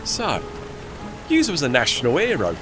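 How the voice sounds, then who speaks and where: Man playing a plucky, high-voiced steam tugboat. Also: huge pitch swings, moderate pace, music in the background. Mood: cheeky pride. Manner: colloquial, folksy, non-standard grammar. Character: plucky working-class male steam tugboat, cheeky and proud. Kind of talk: audio drama acting.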